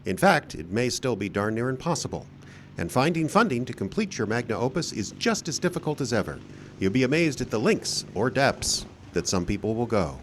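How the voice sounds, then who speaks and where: A man's voice: medium pitch, big pitch swings, fairly quick. A man hosting a live radio theater show, delivering theatrical scripted narration like a showman. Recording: live show with faint background noise.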